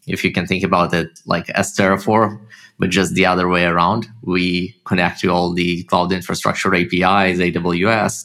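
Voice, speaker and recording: A man from Israel with a slight Russian-tinged accent, a startup founder talking casually as a guest, halting and full of fillers. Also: low voice, vocal fry, fairly bright tone. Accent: Russian-tinged Israeli English. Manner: halting, many fillers and restarts. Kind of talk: casual interview talk.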